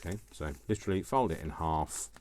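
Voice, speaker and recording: A man's voice: rumbling low, lively intonation, brisk, a little mellow. A man presenting a craft tutorial in a casual, chatty way, rambling with self-deprecating, jokey asides. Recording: noticeable background noise, small clicks.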